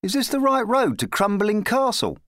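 A man's voice: high voice, sing-song, moderate pace, fairly bright tone, no echo, clean studio sound, voice pushed up. A man voicing a small, eager, polite and plucky boy squire in a children's storybook. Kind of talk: children's storybook character reading.

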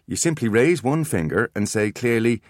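A man's voice: medium pitch, lively intonation, moderate pace, no room sound, studio quality, voice pushed up, close to an everyday voice. A man voicing a clever teenage boy patiently lecturing his little brothers.

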